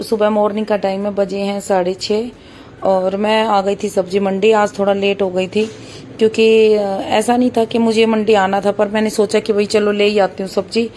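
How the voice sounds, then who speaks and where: A woman in her thirties with a hoarse, sore voice, chatting casually through a vlog as she cooks. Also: mid-range voice, a little mellow, a little hiss or hum. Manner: chatty, keeps saying "bhai", talks while cooking. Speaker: vlogger.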